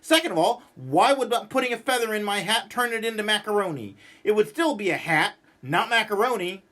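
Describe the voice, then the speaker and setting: A man voicing a cranky, stubborn, exasperated man who grumbles and rants in an animated storybook read-aloud. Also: sits high, fairly quick. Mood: grumpy complaining. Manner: exasperated, ranting, emphatic.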